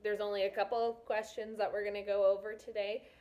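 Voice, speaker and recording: A woman's voice: mid-range voice, flat delivery, fast, mellow. A woman teacher reading a novel aloud to students, with casual, encouraging asides. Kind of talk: read-aloud of a children's novel with explanatory asides.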